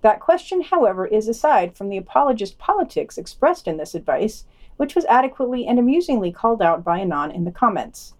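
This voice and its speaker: A woman's voice: mid-range voice, big pitch swings, a little fast. A woman reading scripted podcast news and adding her own commentary.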